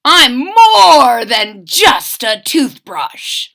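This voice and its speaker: A woman gives voice to a brave little male bird from a storybook. He sounds bright and lively, reassuring his friend with loyal determination. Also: high voice, very slow, vocal fry, voice pushed up, full character voice. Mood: defiant.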